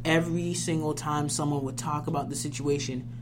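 A woman's voice: sits low, steady tone, brisk, crowd noise. A young woman hosting a casual conversation, telling stories in an emphatic, informal way. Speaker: podcast host. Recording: noisy recording with clear hum.

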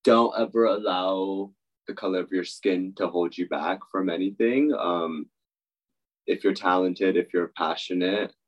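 A man with a low voice speaking sincerely and affirming what he says. He sounds earnest as he shares his thoughts. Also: a little slow, muffled recording.

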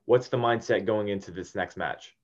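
Low-voiced male sports interviewer, slightly muffled. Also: moderate pace. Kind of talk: casual interview questions.

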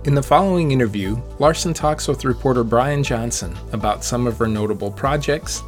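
A man reads a scripted introduction in a steady narrating manner, clearly marking quoted passages. Faint music plays softly behind his voice. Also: mid-range voice, fairly quick.

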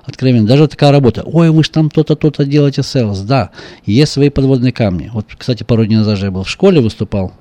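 Middle-aged man guest, quick boastful talker, dry close studio sound. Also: mid-range voice, dark tone, dry recording. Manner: boastful, joking, rapid storytelling. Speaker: car salesman guest. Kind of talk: casual radio chat.

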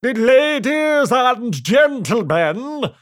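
Man putting on a pompous, slow, loud storybook king. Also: very high-pitched, big pitch swings, voice pushed up, exaggerated voice. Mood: grand, ceremonious. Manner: grand, drawn-out proclaiming. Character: pompous king addressing a crowd in nonsense land. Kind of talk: children's storybook character voice.

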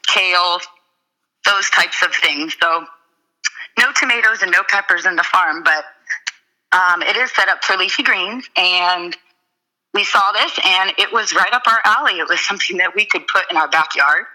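Bright-voiced woman explaining her farm. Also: lowish voice, moderate pace, poor audio. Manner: explains steadily with frequent ums. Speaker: urban farm owner, guest. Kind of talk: casual interview conversation.

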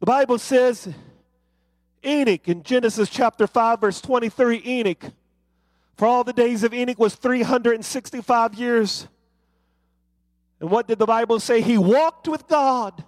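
A man's voice: very high voice, unhurried, darkish tone, dry recording. A man preaching a sermon, building to fervent, rhythmic refrains.

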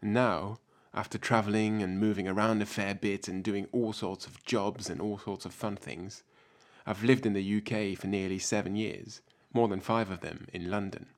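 Guy from france w/ a french-german accent, lowish voice, reading out a thoughtful essay, slow and measured. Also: steady tone. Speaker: PhD researcher giving a talk. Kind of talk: scripted spoken essay.

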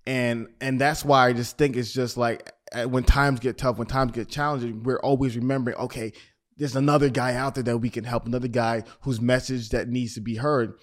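Affirming podcast host, mid-pitched man. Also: fairly even intonation, fairly quick. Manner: affirming, laughs often, many backchannels. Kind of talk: interviewer chat.

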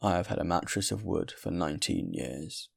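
Man playing a deep, bitter, weary vagrant. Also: animated, normal speed, gravelly, exaggerated voice. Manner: harsh, curt, sullen. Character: weary, bitter male vagrant and former soldier.

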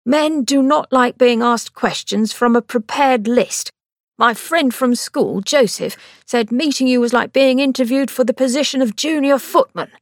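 Woman doing smug young male doctor, teasing, slightly high, dry close mic. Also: measured pace, dry recording. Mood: exasperated. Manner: teasing, exasperated.

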